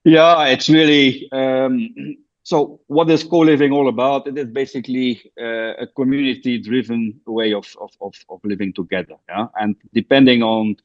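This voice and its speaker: A man from Belgium, a guest on a podcast, explains his work slowly with many hesitations and restarts. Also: mid-range voice, steady tone. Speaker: guest, co-living founder. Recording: dull lo-fi remote audio.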